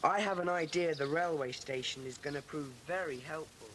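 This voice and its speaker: A woman's voice: deep voice, a bit bright. Woman as Peter, bossy schoolboy club leader. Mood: earnest, decisive. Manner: brisk, earnest, commanding. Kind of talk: children's audiobook dramatisation. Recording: hissy old cassette dramatisation.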